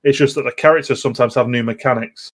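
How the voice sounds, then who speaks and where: A man in a casual chat, explaining things in a halting, rambling way. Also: mid-range voice, steady tone. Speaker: podcast host.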